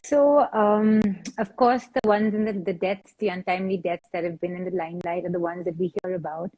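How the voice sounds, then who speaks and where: A woman's voice: lowish voice, steady tone, brisk, a little mellow, low-quality recording. A woman, explaining as a fitness trainer on a discussion panel, repeating words as she thinks aloud. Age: 40s.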